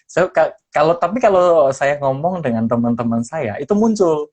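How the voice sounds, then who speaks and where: A man's voice: a little high, animated, moderate pace, a little mellow. A young adult man from Semarang, a former radio announcer, chatting as a podcast guest with a lightly mixed Indonesian accent and many fillers. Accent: neutral Indonesian with slight mixed regional traces. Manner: hesitant, many fillers and restarts. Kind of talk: casual interview chat.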